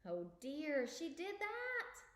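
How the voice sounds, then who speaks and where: A woman voicing a kindly, patient old grandfather in a storybook, speaking in a gentle, measured, reassuring manner. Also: high voice, huge pitch swings, echoey room, pitched above the performer's own voice, exaggerated voice. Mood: sympathetic.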